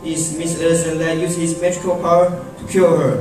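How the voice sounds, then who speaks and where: A man's voice: a little high, little pitch movement, brisk, voice pushed up, close to an everyday voice. A man voicing a loyal, pleading small-town resident in an amateur radio drama. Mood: heartfelt pleading. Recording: echoey hall from far off, music behind.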